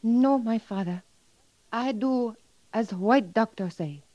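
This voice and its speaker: Gentle young western chief's daughter, slow broken english, dull old radio sound. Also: female voice, a little high, no room sound. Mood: pleading.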